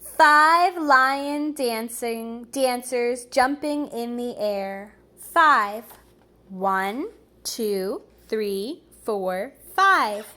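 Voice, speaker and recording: A woman reading picture books aloud to young children in an expressive, deliberate way, with little asides. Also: fairly high pitch. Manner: slow, deliberate, expressive, pausing over words for young listeners. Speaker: preschool teacher reading aloud.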